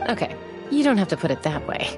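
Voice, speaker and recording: An actress voicing a composed, sensible young woman in a video game, dry and measured. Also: medium pitch, big pitch swings, close to an everyday voice. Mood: calm, dry. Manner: measured, dry, matter-of-fact. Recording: music behind the voice. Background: game music.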